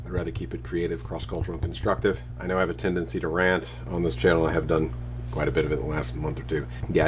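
A man in his thirties talking casually to a handheld camera at arm's length, relaxed and rambling. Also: deep voice, noisy background. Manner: relaxed, rambling, self-deprecating. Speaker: vlogger. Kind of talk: casual vlog talk to camera. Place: porch and living room of a house, then dark room.

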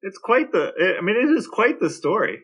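An American man co-hosting a podcast, bantering casually with a dry, deadpan delivery. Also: high voice, normal speed, slightly rough. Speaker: podcast co-host.

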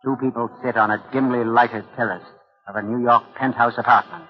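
A man hosting and narrating a radio mystery series in formal, deliberate 1940s style. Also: mid-range voice, steady tone, muffled recording.

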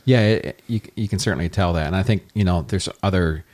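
A man's voice: low voice, fast, darkish tone. A man hosting a podcast interview, talking casually and enthusiastically with many fillers. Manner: enthusiastic, complimentary, rambling. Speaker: podcast host.

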